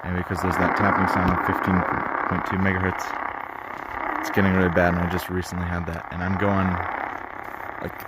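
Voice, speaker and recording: A man's voice: sits low, monotone, brisk. A man giving casual, rambling and frustrated commentary as a radio hobbyist, recorded outdoors in a backyard. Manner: frustrated, grumbling about interference. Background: shortwave radio noise and signals.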